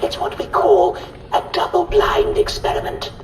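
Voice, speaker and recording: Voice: moderate pace, a little mellow. An actor voicing a dotty, cheerfully callous scientist in a video game, with a patronising, absent-minded manner, over an intercom. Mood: chirpy, clinical. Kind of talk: video game character acting. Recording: roomy distant intercom, music behind.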